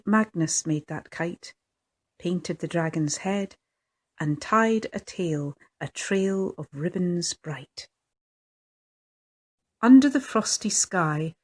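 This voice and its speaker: A woman reading her own picture book aloud in a lilting storytelling manner. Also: lowish voice. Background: faint fiddle music.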